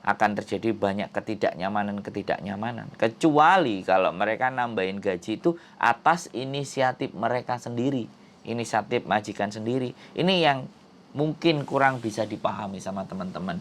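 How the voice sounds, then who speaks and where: A man's voice: on the low side, a little fast, slight background noise. A man, hosting an informal advice talk and explaining his points with emphatic repetition. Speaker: advice show host. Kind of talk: informal advice commentary to followers.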